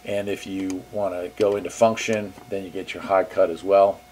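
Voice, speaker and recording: A man's voice: low voice, little pitch movement, normal speed, darkish tone, a little hiss or hum. A man hosting a casual gear demo in an easygoing, upbeat way. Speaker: host reviewing radio gear.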